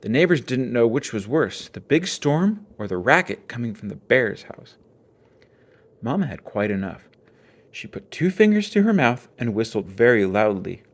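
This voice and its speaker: A man reads a children's book aloud. His voice has an average pitch but moves widely up and down. The narration is expressive storytelling. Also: moderate pace. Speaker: storybook reader.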